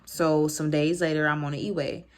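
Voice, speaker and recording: Woman, American accent, low pitch, casual vlog chat, slangy, conversational storytelling, animated asides. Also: moderate pace. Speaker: vlogger telling a story.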